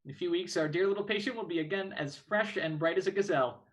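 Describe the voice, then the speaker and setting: A man's voice: sits high, fast, close to an everyday voice. A man playing a courteous, breezily reassuring doctor with formal, pompous delivery in a dramatic reading of an old play. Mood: courteous, cheery.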